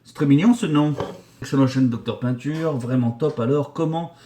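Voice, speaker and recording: A man in his forties from the Paris region answers viewer questions about his work as a painter and decorator. He talks in a loose, joking way with crude humor, many fillers and restarts. His voice sits at an ordinary middle pitch. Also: moderate pace, dark tone. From Paris region, France. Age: 40s. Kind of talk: casual vulgar chat.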